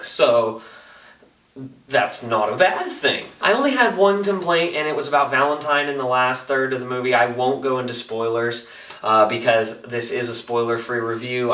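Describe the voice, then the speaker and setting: A man's voice: medium pitch, sharp tone. A man reviewing a film in a casual, enthusiastic vlog style, talking colloquially and animatedly and acting out reactions in quoted asides. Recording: roomy echo, distant microphone.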